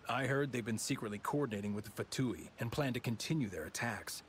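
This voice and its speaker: A man's voice: medium pitch, animated, fast, slight background noise, close to an everyday voice. A man voicing a suspicious, secretive soldier in a video game, with a firm, conspiratorial delivery. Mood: certain, suspicious. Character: suspicious, secretive male soldier, sure of himself.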